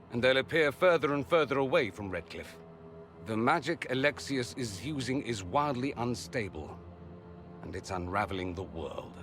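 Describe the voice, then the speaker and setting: An actor playing a witty, self-assured foreign mage in a video game, with an arch, flamboyant manner. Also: male voice, medium pitch, a bit bright. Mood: grave, insistent. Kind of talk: video game dialogue acting.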